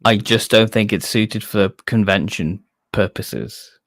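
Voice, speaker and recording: A man co-hosting a casual fan livestream, chatting informally in a hesitant, halting way. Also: fairly low pitch, fairly bright tone, dry recording, studio quality. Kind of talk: casual chat.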